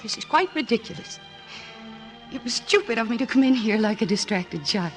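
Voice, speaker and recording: A woman's voice: fairly high pitch, animated, a little fast, faint music behind, close to an everyday voice. An actress playing an earnest, nervous but composed woman doctor in love, in a 1940s radio drama style. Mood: tender, bewildered. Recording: restored old radio broadcast.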